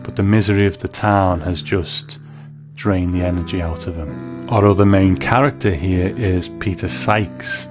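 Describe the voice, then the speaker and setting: A man hosting a review show, giving scripted commentary in a measured, explanatory way. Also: lowish voice. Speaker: host of a television review show. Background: plucked guitar music.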